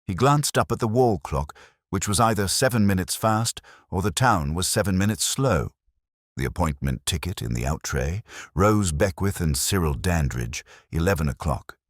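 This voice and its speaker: Very deep-voiced male mystery narrator. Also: big pitch swings, normal speed, dry recording, clean studio sound. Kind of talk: scripted audiobook-style mystery narration.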